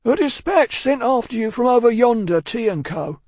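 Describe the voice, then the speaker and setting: A man voicing a hoarse, blunt messenger on horseback in a storybook, calling out. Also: very high voice, animated, slow pace, dry recording, pitched above the performer's own voice, heavily acted. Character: hoarse mounted male messenger, calling through mist.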